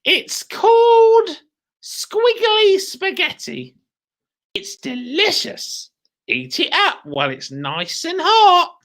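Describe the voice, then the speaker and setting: A shrieking nasty old hag. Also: female voice, big pitch swings, takes it slowly, a bit bright, full character voice. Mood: sly. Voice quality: shrill, screechy.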